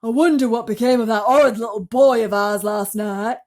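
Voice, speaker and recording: A woman's voice: fairly high pitch, steady tone, moderate pace, darkish tone, close to an everyday voice. A woman voicing a cruel, greedy aunt in a storybook, drawing out her nasty words with emphasis. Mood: gloating, spiteful. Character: cruel, greedy, spiteful middle-aged aunt, storybook villain.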